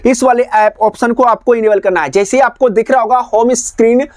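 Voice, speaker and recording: A man's voice: very high-pitched, fairly even intonation, fairly quick, a little mellow, no room sound, studio quality. A man narrating a step-by-step phone tutorial in a repetitive, instructional way. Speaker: tech tutorial host.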